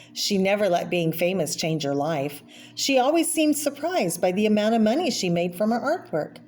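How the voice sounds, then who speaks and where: A woman's voice: on the low side, darkish tone. A woman reading a children's picture book aloud, adding informal explanatory asides about the pictures. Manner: explanatory, pausing to name and comment on pictures. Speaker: read-aloud presenter for children.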